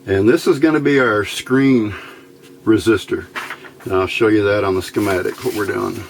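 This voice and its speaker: Male hobbyist instructor, gravelly, folksy, casual workbench walk-through, muttering as he goes, noisy recording. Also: on the low side, moderate pace. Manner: folksy, muttering to himself while working. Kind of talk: casual step-by-step demonstration while working. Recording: clear background noise.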